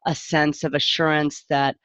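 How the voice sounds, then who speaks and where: A woman's voice: low voice, little pitch movement, moderate pace, a bit bright, no echo, dull audio. A middle-aged woman talking candidly and reflectively in a casual live stream as a parent coach, often interrupting herself.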